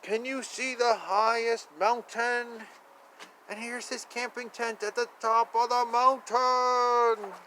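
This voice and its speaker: High-voiced man reading playfully to children. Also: fairly even intonation, slow, sharp tone, poor audio. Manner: playful, exclaiming, chatty. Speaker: children's picture book reader. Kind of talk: animated read-aloud with asides to young children. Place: outdoors. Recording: outdoor ambient noise, faint. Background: faint outdoor ambience.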